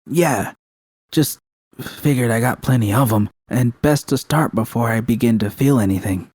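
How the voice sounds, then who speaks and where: A man's voice: mid-range voice, normal speed, darkish tone, no echo, clean studio sound, close to an everyday voice. A man voicing a weary, irritable young woman mercenary, terse and evasive, in audiobook dialogue. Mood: defensive.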